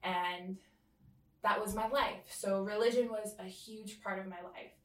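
A woman with a mid-pitched voice speaks as an interview guest, sharing personal history in casual, hesitant phrases. She sounds set back from the microphone, with noticeable room echo around her voice. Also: fairly even intonation, measured pace. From Hawaii, California and American Samoa. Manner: self-conscious, hesitant, laughs easily. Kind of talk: candid personal storytelling in casual chat. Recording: echoey room, distant from mic.

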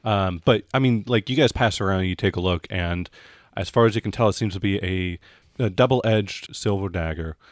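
A man working as game master, improvising scene descriptions in a halting, conversational way. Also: low voice, no room sound, studio quality. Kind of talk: improvised narration of a tabletop game.

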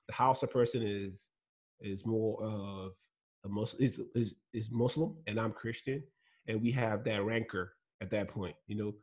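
A man from Nigeria with Igbo as his mother tongue talks through his past in a relaxed interview. His voice sits on the low side with a husky edge. He tells his stories earnestly and laughs between points. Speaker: guest. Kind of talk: casual interview talk.

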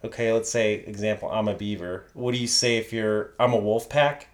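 A man's voice: on the low side, fairly even intonation, moderate pace. A man co-hosts a laid-back sports podcast in a Chicago accent with a slight Polish tinge. He keeps things light, teasing his partner and firing off curious questions. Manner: playful, joking, asks lots of questions. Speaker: podcast co-host. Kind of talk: casual sports chat.

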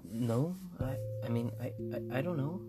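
A timid young child in a spooky story , acted out by a man, pitched well above the narrator's usual voice. The words come hesitantly, with stammers and curious questions. Loud plucked-string music plays underneath. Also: moderate pace, lightly acted. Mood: puzzled, hesitant.

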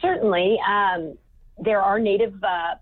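A woman's voice: mid-range voice, steady tone, measured pace, no room sound. A woman from California, a guest scientist, explaining carefully with frequent ums in a podcast interview. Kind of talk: podcast interview over phone line. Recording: tinny phone line.

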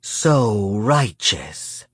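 A cold, contemptuous female spirit acted out by a man, purring her threats at a very slow, drawn-out pace. The storybook villain sounds predatory and mocking, recorded close and dry. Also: on the low side, lively intonation, slightly rough, no room sound, heavily acted. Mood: scornful rage.

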